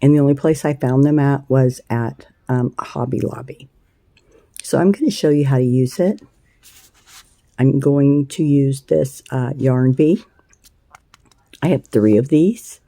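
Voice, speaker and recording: A woman with a scratchy voice hosting a casual crochet tutorial, chatty and unhurried, with plenty of ums and uhs. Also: extremely low pitch, dark tone, no room sound. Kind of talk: casual hands-on craft tutorial.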